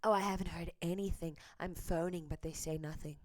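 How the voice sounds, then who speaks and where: A woman's voice: lowish voice, fairly even intonation, brisk, darkish tone. A woman host speaking earnestly and candidly, translating a clip with frequent hesitant ums. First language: English. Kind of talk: earnest commentary and live translation.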